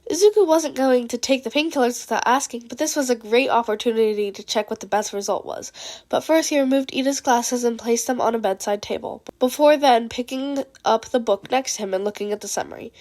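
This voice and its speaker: A woman with a fairly high voice reads a story aloud in an amateur audiobook style. She sounds slightly sick as she narrates. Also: brisk, darkish tone. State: sick with a cold. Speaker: fan fiction narrator.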